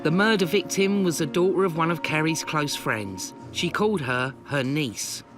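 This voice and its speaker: A man narrating a documentary in a measured, informative scripted read. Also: fairly high pitch. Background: music.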